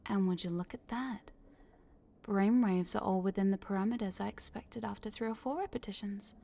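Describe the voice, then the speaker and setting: A woman's voice: mid-range voice, normal speed, dark tone. Smug, teasing corporate boss lady, acted by a woman. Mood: pleased, possessive. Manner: teasing, condescending, drops into breathy whispers. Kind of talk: scripted audio roleplay spoken to the listener.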